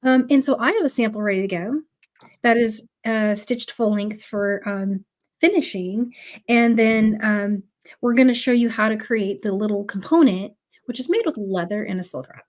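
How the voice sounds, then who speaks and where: A woman's voice: medium pitch, normal speed. A woman with a cold teaching a live beading class, chatty and full of ums as she greets viewers. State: has a cold. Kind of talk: casual live tutorial.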